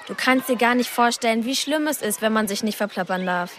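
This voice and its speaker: A woman's voice: a little high, brisk, a bit bright, a faint crowd, natural voice. An actress playing a chatty, friendly, slightly anxious twelve-year-old witch girl in a radio drama. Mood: relieved confiding.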